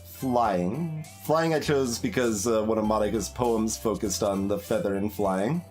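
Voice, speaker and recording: Slow-paced man musing over game music. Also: fairly low pitch, a little mellow. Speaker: streamer, let's play host. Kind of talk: casual live game commentary, thinking aloud.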